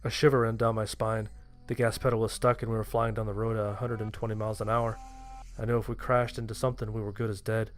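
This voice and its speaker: A man's voice: on the low side, level tone, fast. A man reading a scripted first-person horror story as its narrator.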